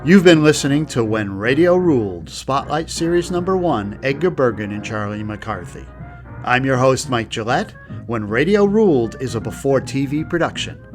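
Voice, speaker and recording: A man's voice: mid-range voice, expressive, normal speed. A man hosting a radio history program with scripted documentary narration. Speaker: host. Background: music.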